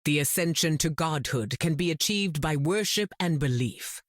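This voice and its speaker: A woman playing a self-assured, cool and wry goddess in a video game, measured and poised. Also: low-pitched, animated, no echo, studio quality. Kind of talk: video game character dialogue.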